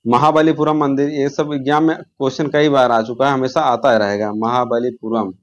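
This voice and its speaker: A man's voice: mid-range voice, level tone, moderate pace, muffled recording. A man teaching an informal exam-prep lesson, posing questions, answering them himself and repeating key terms.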